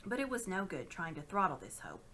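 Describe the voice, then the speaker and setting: A woman reads a storybook aloud in an expressive, dramatic style. Her voice is bright and clearly projected, with lively swings in pitch and a little noise behind it. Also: a little fast. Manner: dramatic, animated. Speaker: storybook reader.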